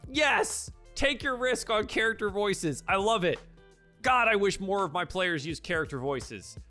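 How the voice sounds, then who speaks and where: A man's voice: sits high, expressive, measured pace, pushed and bright, faint music behind. A man hosting a casual livestream advice chat as a game master, speaking emphatically and repeating himself for stress. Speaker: host, professional dungeon master giving advice.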